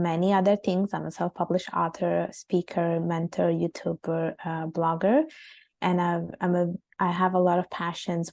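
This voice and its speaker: Woman guest from Italy, low gravelly voice, flat delivery, earnest and hesitant. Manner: earnest storytelling with frequent hesitations. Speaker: guest, author. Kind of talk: casual interview chat.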